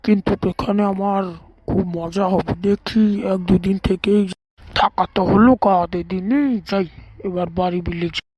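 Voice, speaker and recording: A woman's voice as a bossy cartoon boss and parent with a mid-range feminine pitch. The delivery grumbles and scolds in the manner of a homemade cartoon dub. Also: steady tone, normal speed, darkish tone, no room sound, close to an everyday voice, rough recording. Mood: content.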